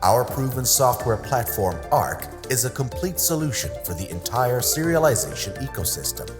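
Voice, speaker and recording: Lively corporate promo narrator over music. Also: mid-range voice. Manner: polished, smooth promotional delivery.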